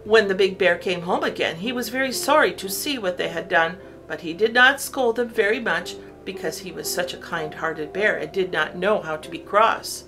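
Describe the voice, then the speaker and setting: A woman narrating a children's story from a script, in an expressive, deliberate storytelling way. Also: lowish voice, soft background music, poor audio.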